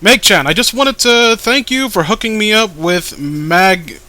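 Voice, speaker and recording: A man's voice: high-pitched, lively intonation, a little slow, pushed and bright, heavily acted. A man voicing a lonely, earnest, lovesick male comic-book character, gushing and drawing out his words. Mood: grateful, needy. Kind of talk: dramatized comic reading.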